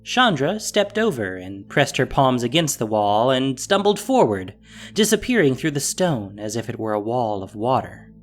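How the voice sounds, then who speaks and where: A narrator reading a scripted fantasy story with expressive, descriptive delivery. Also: medium pitch, normal speed.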